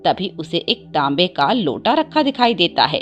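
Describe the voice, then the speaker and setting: A woman's voice: lowish voice, sing-song, measured pace, lo-fi sound, faint music behind. A woman narrating a scripted devotional story as a storyteller.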